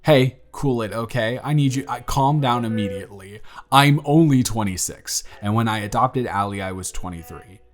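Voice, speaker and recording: A man playing an earnest young single dad in his twenties, talking softly and a little flustered in an audio roleplay. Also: medium pitch, moderate pace, hardly any pauses, soft background music, natural voice. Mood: tender. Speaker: father confessing feelings to the babysitter.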